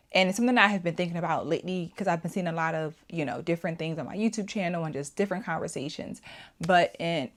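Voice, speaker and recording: A woman with a slightly low voice hosts a casual online talk show. She makes her case emphatically, circling back over points and posing rhetorical questions straight to her viewers. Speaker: YouTube channel host. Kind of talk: casual opinion commentary to camera.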